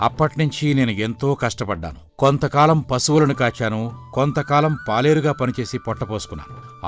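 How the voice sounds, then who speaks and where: An actor playing a proud, self-made, miserly village moneylender who justifies himself firmly in a moral story. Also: male voice, medium pitch, close to an everyday voice. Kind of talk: dramatised moral story dialogue.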